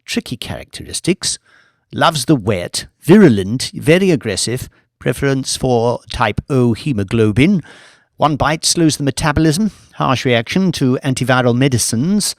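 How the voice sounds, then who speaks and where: A man's voice: medium pitch, animated, normal speed, no room sound, studio quality, close to an everyday voice. A man voicing an eccentric, gleeful scientist in an audiobook, fussy, chatty and rambling, gloating as he talks. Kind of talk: audiobook character voice.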